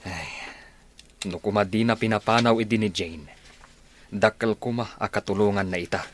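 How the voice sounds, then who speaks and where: Man playing a caring village husband in a radio drama, lowish voice. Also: normal speed, close to an everyday voice. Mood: concerned. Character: sympathetic village husband discussing neighbours' troubles. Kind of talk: Filipino radio drama acting.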